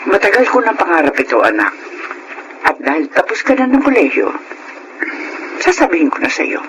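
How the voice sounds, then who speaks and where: A woman's voice: on the low side, wildly swooping pitch, normal speed, noisy background. A woman playing a proud, domineering middle-aged widow in a Filipino radio drama, with an imperious manner and a Filipino accent. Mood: proud, insistent. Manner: imperious, English-sprinkled Tagalog. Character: Monica, proud domineering well-to-do widowed mother.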